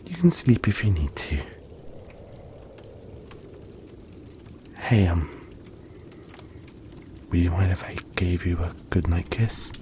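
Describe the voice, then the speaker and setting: A man voicing a gentle, cuddly young yeti in a soft-spoken, hesitant, soothing roleplay addressed to the listener. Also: deep voice, sing-song, unhurried, noisy background. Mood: tender, sleepy.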